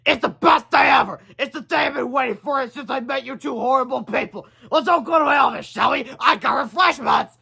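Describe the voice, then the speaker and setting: A man's voice: very high-pitched, a little fast, vocal fry, hardly any pauses, pushed and bright, breathy edge, pitched above the performer's own voice, heavily acted. A man voicing a mean school official in a children's storybook, overexcited and gloating with glee. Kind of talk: children's storybook read-aloud.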